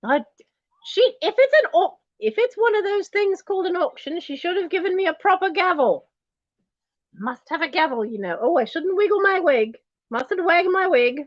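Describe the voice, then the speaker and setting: A woman's voice: very high voice, lively intonation, moderate pace, no echo, highs cut off. A woman playing a snobbish posh lady in a wig and diamonds, with a put-on posh British accent, running an auction and talking down to her viewers. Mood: haughty. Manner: condescending, calls everyone darling and commoners. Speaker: auction host. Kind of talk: in-character livestream auction.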